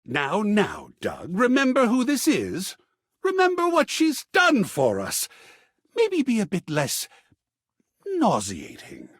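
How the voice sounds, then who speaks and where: An actor playing a flamboyant, seductive living work of art in a video game, theatrical and affectionate, with breathy husky endearments. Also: male voice, sits high, huge pitch swings, no room sound, studio quality, full character voice. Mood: chiding. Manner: theatrical, drawn-out purring endearments with sudden exclamations. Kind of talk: theatrical game character acting.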